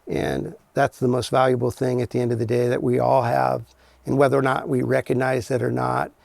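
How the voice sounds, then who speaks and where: Middle-aged man, creaky voice, plain-spoken, casual interview guest, dry recording. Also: medium pitch, little pitch movement, fairly quick, darkish tone, no room sound. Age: 40s or older. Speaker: podcast guest, cannabis farmer. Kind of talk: casual chat.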